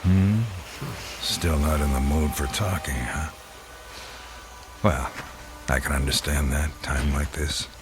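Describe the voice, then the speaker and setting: Slow, low-voiced, sardonic soldier. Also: male voice, steady tone, over music. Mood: mock-friendly, menacing. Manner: drawling, mock-friendly, sardonic. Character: taunting, folksy, cruel soldier guarding a condemned man. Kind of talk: radio drama acting.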